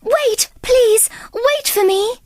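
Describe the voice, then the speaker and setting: A woman's voice: very high-pitched, takes it slowly, darkish tone, no echo, clean studio sound, pitched above the performer's own voice. A woman voicing a sweet, emotional young storybook heroine who speaks in earnest exclamations. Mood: pleading.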